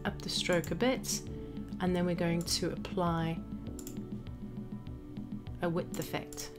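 A woman narrating a step-by-step software tutorial as an instructor, explaining each action as she goes. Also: lowish voice, little pitch movement, slow. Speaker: graphic design tutorial instructor. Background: background music.

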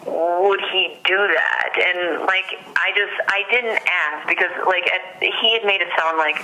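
Female witness, American, bright forceful voice, halting conversational account over a thin phone line. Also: on the low side. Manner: hesitant, frequent use of "like". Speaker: witness in police interview. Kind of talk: recounting events in an interview. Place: phone call. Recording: thin telephone call recording.

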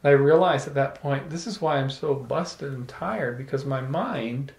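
Gravelly man telling a story in an echoey room. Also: medium pitch, moderate pace. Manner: animated, playful storytelling with laughs. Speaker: salon speaker. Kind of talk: storytelling to a small audience. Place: room with small live audience. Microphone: handheld microphone.

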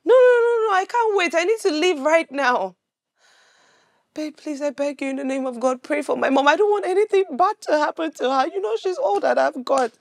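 A woman's voice: sits high, vocal fry, no echo, clean studio sound. An actress with a Nigerian accent playing a distraught, pleading girlfriend in a film drama, repeating herself as she begs. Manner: pleading, repetitive.

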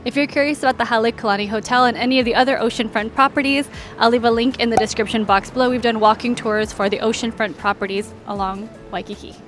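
High-voiced woman guiding a walking tour. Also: steady tone, fast, soft background music. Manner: orderly pointing-out of sights, conversational. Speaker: travel guide and vlog host. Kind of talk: narrated walking tour, casual and informative.

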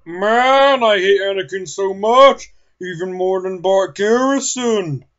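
Lady doing a mouthy cartoon bad girl, brash, mid voice, kinda slow. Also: mid-range voice, muffled recording. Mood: scornful, brash. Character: brash female villain with an army of clones.

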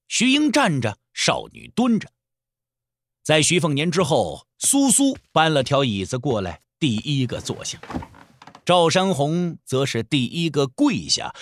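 A man narrates a wuxia tale in a fairly high voice that moves widely in pitch. Music plays under his scripted storytelling. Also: fairly bright tone, no echo. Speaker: narrator of an audio drama.

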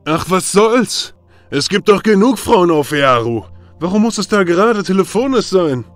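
A man's voice: sits high, big pitch swings, normal speed, pitched above the performer's own voice. A man voicing a boastful god who brags about his son, with music behind. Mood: dismissive. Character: boastful proud father god.

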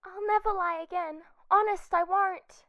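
A woman's voice: very high-pitched, steady tone, a little slow, highs cut off. A woman voicing a naive, fibbing little wooden puppet boy with a stammering, pleading manner in a children's radio play. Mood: earnest, grateful.

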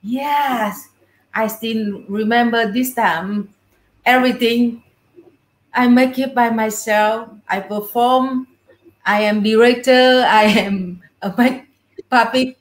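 A woman's voice: on the high side, steady tone, slow pace. A middle-aged woman with a Vietnamese accent, telling stories casually as an interview guest in non-native English. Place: indoor room full of puppets, on a video call. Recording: roomy echo on a video call.

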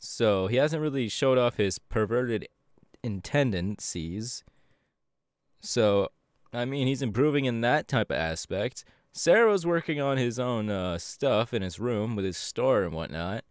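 A man's voice: medium pitch, a little slow, dry recording, studio quality, lightly acted. A man playing an upbeat, easygoing young electric superhero in a casual, chatty audio roleplay. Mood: friendly, chatty. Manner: casual, animated, hesitant with fillers. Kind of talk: audio roleplay, chatty anime character acting.

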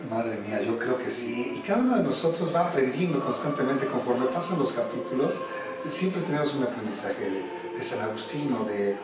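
A Mexican man in his thirties answers reflectively, feeling his way and repeating words. He is recorded far from the mic in a very reverberant small office, with clear music behind him. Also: mid-range voice, animated. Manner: halting, repeats words while searching. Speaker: interviewee, radio drama actor. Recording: echoey room, distant mic, muffled, music behind.

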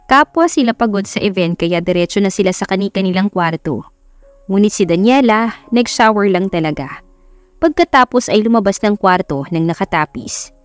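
Filipino woman reading a love story audiobook, dramatic narration, normal pitch, music in back. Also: no room sound. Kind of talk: scripted dramatic romance narration. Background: background music.

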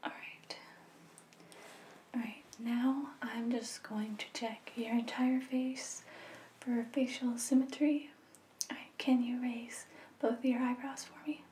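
A woman in her twenties whispering softly and reassuringly in an ASMR medical roleplay, as an examiner talking to a patient. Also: a little high, little pitch movement, roomy sound, distant mic, a little hiss or hum. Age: 20s. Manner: soft, slow, soothing, reassuring. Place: small plain indoor room.

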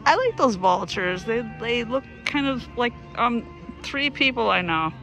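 Travel vlogger, slow-paced delivery, casual rambling chat, irreverent joking, bits of singing, music in the background. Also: mid-range voice, very animated, sharp tone, poor audio. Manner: irreverent, joking, often breaks into bits of song.

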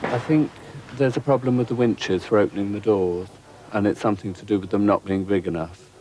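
A man's voice: fairly low pitch, fairly even intonation, fairly quick, crowd noise. A man in his thirties, a stage designer, talking casually in a documentary interview. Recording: clearly noisy theatre interview.

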